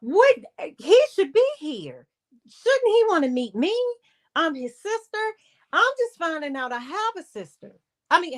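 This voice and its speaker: A woman telling a personal story in a casual livestream style, re-enacting conversations and repeating phrases for emphasis in a halting way. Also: sits high. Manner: animated re-enacting of conversations with quoted dialogue.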